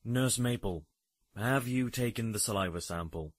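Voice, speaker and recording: An actor playing a curt, businesslike doctor in a horror audio drama. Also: male voice, lowish voice, normal speed, no room sound, studio quality, natural voice. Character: businesslike male doctor leading an experiment.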